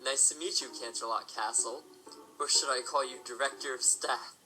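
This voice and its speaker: An actor playing a composed, protective middle-aged pony father and castle official in an audio drama, measured and formal. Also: medium pitch, steady tone, over music, natural voice, low-quality recording. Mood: calm, wry.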